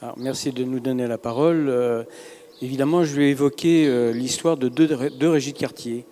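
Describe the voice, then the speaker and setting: A man giving a semi-improvised seminar presentation as an organisation's representative, very hesitant, breaking his phrases with pauses. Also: mid-range voice, warm tone. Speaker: representative of neighbourhood work-integration enterprises. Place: large reverberant room at a seminar. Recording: echoey hall with long reverb.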